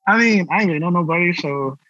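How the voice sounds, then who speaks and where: A young man from Nigeria speaks in a relaxed, easygoing way, his voice at a middle pitch. The recording is dry and a bit dull. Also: fast, no room sound. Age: young adult. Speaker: youth artist guest. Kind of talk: casual chat.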